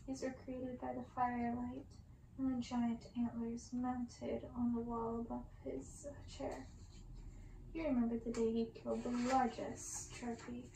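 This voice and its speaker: A woman reading a story in ASMR style, with a slow, breathy, intimate delivery. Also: on the high side, dark tone, echoey room, slight background noise, low-quality recording.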